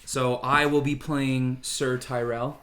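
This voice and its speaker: A man's voice: medium pitch, flat delivery, slow, fairly bright tone. A young man hosting a casual chat podcast, slangy, profane and jokey. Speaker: podcast host.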